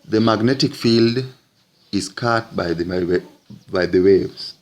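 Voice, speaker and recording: A man teaching physics, narrating an explanatory lesson in deliberate, halting phrases with frequent breaks. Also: low voice, darkish tone.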